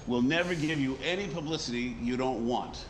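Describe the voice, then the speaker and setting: An actor with an American accent playing a driven newspaper editor who narrates a radio crime drama in hardboiled style. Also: male voice, mid-range voice, a little fast, a little hiss or hum, close to an everyday voice. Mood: persuasive. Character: driven, hard-bargaining newspaper editor narrating his story.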